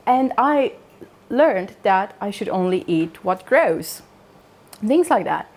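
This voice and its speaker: A woman's voice: medium pitch, expressive, slow, darkish tone. A woman from Romania with a Romanian accent, giving an informal talk in a conversational way, with joking asides and questions to her listeners. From Transylvania, Romania. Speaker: speaker at a wellness talk. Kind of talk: informal public talk, personal storytelling.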